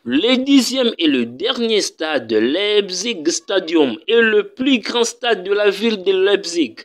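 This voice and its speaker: A man narrating a voice-over as the channel's narrator, reading a numbered list slowly and carefully. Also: high-pitched, expressive.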